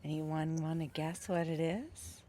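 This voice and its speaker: A woman showing her garden on her vlog, gushing and excited. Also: low voice, unhurried, a little hiss or hum. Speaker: gardening channel host. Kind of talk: casual vlog narration.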